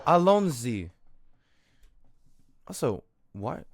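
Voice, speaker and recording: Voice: mid-range voice, big pitch swings, drawn-out words. A streamer giving casual game commentary, joking, slangy and swearing freely.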